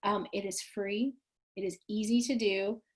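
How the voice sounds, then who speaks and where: Woman trainer, a bit raspy, folksy and conversational on a video call. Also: medium pitch, fairly even intonation, unhurried, darkish tone. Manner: chatty, folksy, frequent ums and restarts. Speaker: counselor trainer leading a webinar. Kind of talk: online training presentation.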